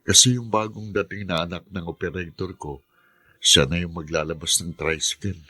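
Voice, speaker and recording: A man's voice: low voice, fairly even intonation, normal speed, pushed and bright, husky, heavily acted. A man playing a kindly, struggling working father who keeps going, in a radio drama. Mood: worried, resolute. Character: struggling, kindly tricycle-driver father, persevering.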